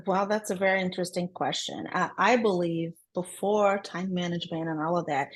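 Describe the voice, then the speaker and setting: A woman from Brazil with a Brazilian accent, a guest in a casual interview who talks upbeat and laughs often between her thoughts. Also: lowish voice. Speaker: guest, transaction coordinator.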